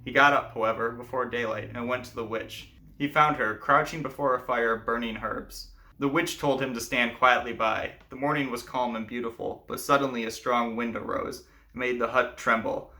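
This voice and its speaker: A man's voice: medium pitch, steady tone, moderate pace, pushed and bright. A man speaking as a storyteller and host, presenting folk tales in a narrated, explanatory style. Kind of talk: scripted fairy tale narration, then explanatory commentary.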